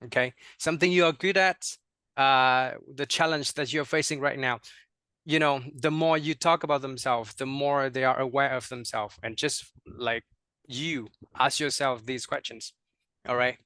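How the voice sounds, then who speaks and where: A man's voice: medium pitch, steady tone, moderate pace, sharp tone, clean tone, dry recording, clean studio sound. A young man with a Vietnamese accent leading an online teacher workshop, with many fillers and repeated words, often checking in with "right?". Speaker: teacher trainer.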